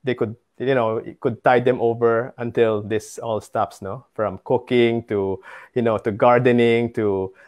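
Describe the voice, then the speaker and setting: A man's voice: mid-range voice, steady tone, measured pace, darkish tone. A middle-aged man with a Filipino accent answering as an interview guest on a video call, phrasing things hesitantly. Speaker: tour guide and business founder, interview guest. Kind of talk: webinar interview. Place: home study with bookshelves.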